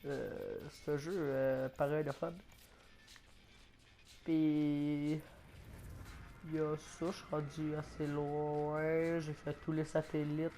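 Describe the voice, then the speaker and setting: A Québécois man with a head cold talks through a video game in a slightly high, flat voice. His delivery is halting, full of fillers and restarts, with a stuffy, coughing edge throughout. Game music plays clearly beneath him. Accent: Québécois French. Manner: hesitant, many fillers and restarts. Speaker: gaming YouTuber. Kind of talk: casual gameplay commentary.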